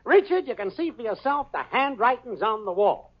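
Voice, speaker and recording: An actor playing a brash, fast-talking boyfriend with a salesman's patter in a radio sitcom. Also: very high-pitched, big pitch swings, exaggerated voice. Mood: breezy. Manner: breezy, slangy, salesman's patter. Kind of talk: 1940s radio sitcom acting. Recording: lo-fi old radio broadcast with studio audience.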